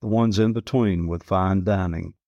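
A man's voice: low-pitched, steady tone, normal speed, mellow, dry recording, highs cut off. A man reading a literary travel story aloud as scripted narration.

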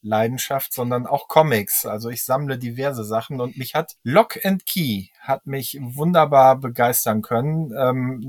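Man chatting haltingly, close dry sound. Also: medium pitch, no room sound. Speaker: podcast guest, audio drama enthusiast. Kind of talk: casual chat.

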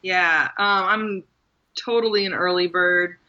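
Bright-voiced woman author chatting. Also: on the low side, fairly even intonation, a little slow, highs cut off. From Maryland, USA. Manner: chatty, laughs often, many fillers. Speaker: guest author. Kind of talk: casual interview talk.

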